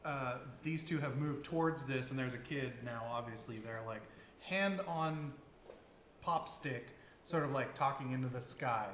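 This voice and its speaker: A man's voice: mid-range voice, measured pace, fairly bright tone. A man narrating as dungeon master in a casual, laughing way, with many fillers. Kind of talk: narrating a tabletop game. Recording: roomy with faint noise.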